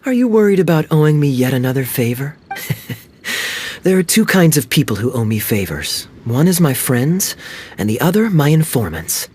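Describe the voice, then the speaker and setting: An actor playing a sly, charming young knight and playful schemer in a video game cutscene, speaking in a smooth, teasing, knowing way. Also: male voice, a little high, big pitch swings, moderate pace, darkish tone, a little hiss or hum. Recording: faint game music behind.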